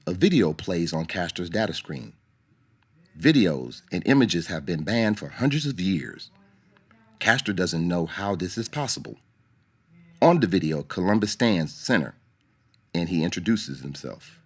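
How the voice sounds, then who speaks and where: Very deep male radio drama narrator. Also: very animated, measured pace, slightly rough. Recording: narration over music. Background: music.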